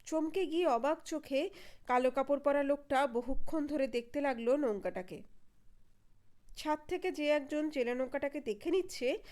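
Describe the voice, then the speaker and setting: A woman's voice: sits high, moderate pace, darkish tone. A woman reading a suspense story as scripted dramatic narration.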